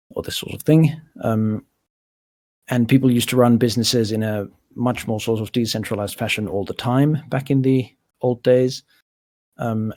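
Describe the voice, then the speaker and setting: A man's voice: mid-range voice, a little mellow, no echo, clean studio sound. A middle-aged man with a cold and a nasal voice, explaining his legal work on a community call in a thoughtful, hesitant way. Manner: thoughtful, hesitant with frequent fillers and restarts. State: sick with a cold. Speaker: lawyer for a crypto organization.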